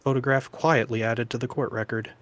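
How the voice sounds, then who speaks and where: A man's voice: medium pitch, steady tone, a little fast, slight background noise, close to an everyday voice. A man voicing a young defense lawyer from a video game, narrating what he observes in a wry, matter-of-fact way. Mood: musing, matter-of-fact.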